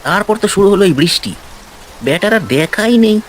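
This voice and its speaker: A man voicing a fussy, chatty village tea-stall keeper in a cartoon story, animated and complaining in a colloquial way. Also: high-pitched, normal speed, slight background noise.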